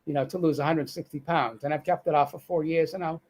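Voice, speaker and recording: A man's voice: fairly high pitch, steady tone, fast, darkish tone. A middle-aged man with a Boston accent, talking as a guest on a live video call, with many fillers and restarts. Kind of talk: casual live-stream interview.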